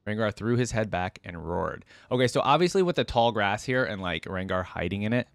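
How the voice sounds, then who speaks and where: A man with a low voice and a dry, close sound. He reads a story aloud as a reaction host, moving between dramatic narration and casual comment. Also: lively intonation, fairly quick, creaky, no room sound.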